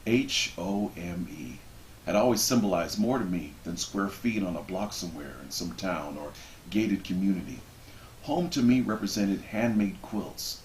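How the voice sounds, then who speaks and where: A man reading a book passage aloud expressively, with measured, deliberate phrasing and dramatic pauses. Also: deep voice. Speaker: reader of a book introduction. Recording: roomy echo with background noise.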